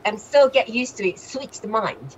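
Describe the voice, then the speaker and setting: A woman with a strong Japanese accent talks over a webcam from a room at home. Her English is halting and she uses non-native grammar, yet she speaks in an animated way. A faint hum of ambience sits behind her voice. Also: a little high, highs cut off. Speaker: guest. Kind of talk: casual chat on a video call. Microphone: webcam on a video call.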